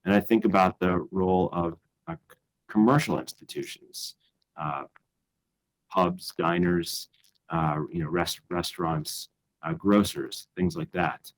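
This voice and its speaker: Very low-voiced man giving a conference talk. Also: low-quality recording. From Chicago. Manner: measured and thoughtful, with frequent "uh" and restarts. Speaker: conference speaker.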